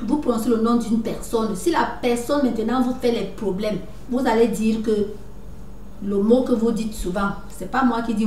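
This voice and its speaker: A woman's voice: fairly high pitch, warm tone. A woman talking straight to her viewers in a lecturing way, restarting often and asking "right?" again and again. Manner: lecturing, repeats "n'est-ce pas", many restarts. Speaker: video commentator. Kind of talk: casual monologue to viewers. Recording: echoey room, somewhat far from mic, faint noise.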